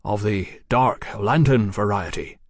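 A man voicing a forceful, resolute gentleman scholar in an audiobook, with formal, measured and emphatic speech. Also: on the low side, no room sound, clean studio sound. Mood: wry. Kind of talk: audiobook character acting.

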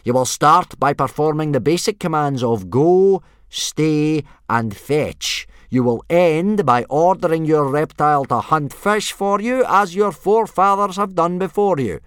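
A man voicing a loud, ill-tempered, pompous Viking warrior instructor barking commands in a children's storybook. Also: fairly high pitch, a little slow, few pauses, clear, resonant tone, dry recording, studio quality, pitched above the performer's own voice. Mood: pompous, commanding. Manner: bellowing, blustering, pompous commands.

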